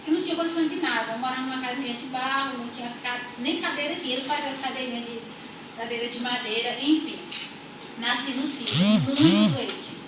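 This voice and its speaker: A young woman from rural Brazil telling her life story as a church testimony, unhurried and repeating her phrases. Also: sits high. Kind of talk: personal testimony. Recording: echoey room, far from mic, audible noise.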